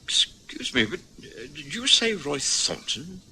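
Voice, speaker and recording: An actor with a British accent playing a courteous, slightly hesitant middle-aged doctor who also narrates, in a vintage radio drama. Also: male voice, on the high side, very animated, measured pace, bright, forceful tone, slight background noise, heavily acted. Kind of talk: 1950s-60s radio drama acting.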